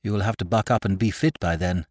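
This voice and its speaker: A man voicing a jovial, glib upper-class gentleman who chatters in chummy slang. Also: low-pitched, fast talker, dry recording, studio quality. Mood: breezy.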